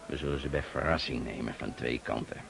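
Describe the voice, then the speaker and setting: An actor playing a gruff, shrewd Russian partisan officer in a radio drama, commanding and emphatic. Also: male voice, rumbling low, fairly even intonation, brisk, fairly bright tone, heavily acted. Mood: planning, resolute. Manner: commanding, emphatic, grumbling oaths. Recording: noisy old radio play recording.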